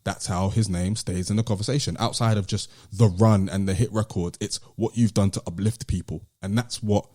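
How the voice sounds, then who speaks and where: A man's voice: on the low side, fairly even intonation, brisk, few pauses, dark tone. A British man hosting a podcast, debating music casually and emphatically, repeating himself to drive points home. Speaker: podcast host.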